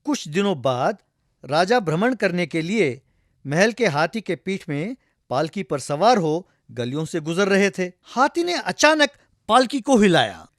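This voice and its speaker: A man's voice: high-pitched, big pitch swings, measured pace, fairly bright tone, no echo, studio quality. A man narrating a scripted comic folktale as a storyteller.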